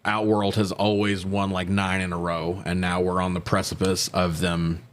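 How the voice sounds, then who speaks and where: A man with a fairly low voice talks through a film as a podcast co-host. His style is casual and slangy, peppered with profanity. He tells it like a story, acting out the quoted lines as he goes. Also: little pitch movement, moderate pace, slight background noise. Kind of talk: casual movie recap banter.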